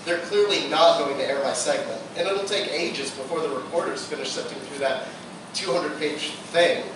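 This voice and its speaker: A woman's voice: low-pitched, normal speed, recorded from a distance, lightly acted. A young woman playing a brainy, sardonic college student with dry delivery in a live radio play. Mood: wry, sardonic. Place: live performance room, distant mic. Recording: echoey, noisy live theatre recording from afar.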